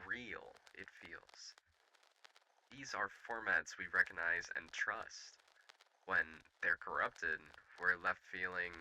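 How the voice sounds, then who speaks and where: A man's voice: low voice, pushed and bright, poor audio. A young adult man reading a scripted video essay aloud, with occasional small stumbles.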